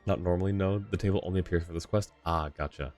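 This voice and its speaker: A man's voice: deep voice, fairly even intonation, fast talker, darkish tone. A man casually commentating on a game stream and reading dialogue choices aloud. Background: game music.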